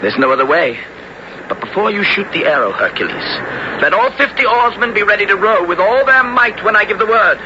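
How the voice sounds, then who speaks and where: An actor playing a bold young Greek hero and sea captain, declaiming and commanding in radio drama style. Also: male voice, high-pitched, big pitch swings, fairly quick. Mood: resolute. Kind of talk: 1970s radio drama acting. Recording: noisy, dull old radio recording.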